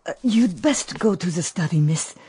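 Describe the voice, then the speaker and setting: An actress playing a loyal, devoted robot maid who speaks in a measured, formal way in a radio drama. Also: female voice, on the low side, lively intonation, mellow, natural voice. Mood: earnest.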